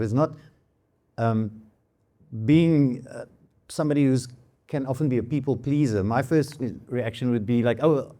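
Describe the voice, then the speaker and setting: A man's voice: medium pitch, mellow. Thoughtful Afrikaans-speaking South African man. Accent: South African English. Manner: thoughtful, halting, many restarts. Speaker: musician and panel guest. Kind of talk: reflective conversation.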